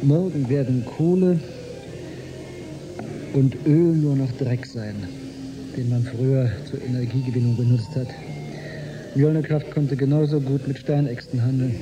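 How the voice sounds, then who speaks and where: A male actor plays a courteous, idealistic historian with a gallant and faintly pedantic manner. His voice is mid-pitched, with music clearly playing behind it, in a radio drama. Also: fairly even intonation, measured pace, long pauses, close to an everyday voice, poor audio. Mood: earnest, indulgent. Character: courteous, flirtatious, idealistic male historian aboard a space station. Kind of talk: German radio drama acting.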